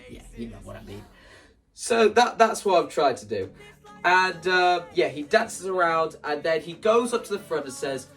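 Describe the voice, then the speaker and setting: Higher-voiced man rambling enthusiastically over music. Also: far from the mic. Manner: enthusiastic, rambling, self-interrupting, acts out quoted lines. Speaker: video creator. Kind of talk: casual explanatory vlog about staging a musical.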